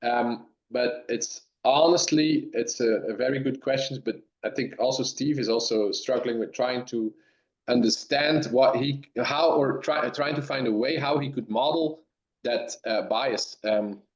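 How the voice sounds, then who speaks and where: A man from Belgium, a dairy science professor, answering webinar questions in halting speech with many restarts over a remote call. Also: medium pitch. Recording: roomy, dull lo-fi sound.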